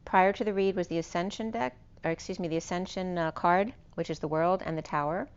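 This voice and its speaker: A woman with a hoarse, fading voice giving an unscripted spiritual tarot reading, meandering and correcting herself as she lists cards and numbers. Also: fairly low pitch, steady tone, brisk, lo-fi sound. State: losing her voice. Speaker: tarot reader.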